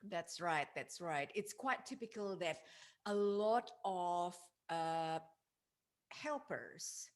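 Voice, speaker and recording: A middle-aged woman from Indonesia hosting a casual podcast interview, enthusiastic and quick to laugh. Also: lowish voice, animated. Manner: enthusiastic, laughs often, warm affirmations. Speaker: podcast host, relationship coach.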